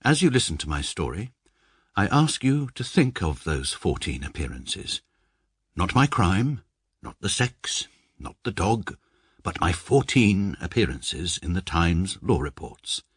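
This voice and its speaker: Deep, husky and very low, a deadpan English barrister who talks down to everyone in a radio play. His pitch swings widely for all the dryness, and the studio sound is close and dead. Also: measured pace, no echo, heavily acted. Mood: dry. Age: 30s. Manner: dry, self-satisfied, deadpan. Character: pompous precise male barrister, thirty-three, dry. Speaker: narrator and lead. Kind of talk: radio drama first-person narration.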